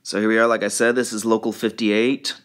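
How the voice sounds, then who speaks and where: A man talking casually and a little haltingly, presenting items in an informal show-and-tell vlog. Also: on the low side, flat delivery, a bit bright. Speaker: video creator showing fan merchandise.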